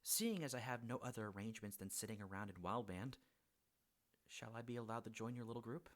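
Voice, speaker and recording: A man's voice: fairly low pitch, a little fast, crowd noise, lightly acted. A man playing a polite, curious young healer in a fantasy audiobook drama, speaking in a slightly formal way. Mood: thoughtful. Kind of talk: audiobook drama character acting.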